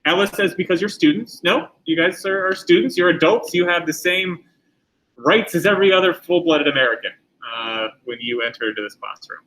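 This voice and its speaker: A man's voice: on the high side, fairly quick, a bit bright, low-quality recording. A middle-aged man teaching a class, lecturing in a conversational way and putting questions to the students.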